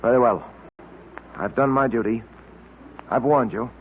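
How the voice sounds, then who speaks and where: An actor playing a firm, suspicious detective in a vintage radio drama, with a stern, insistent, clipped way of questioning. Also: male voice, mid-range voice, measured pace, close to an everyday voice. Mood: grave warning. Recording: old tinny noisy radio recording.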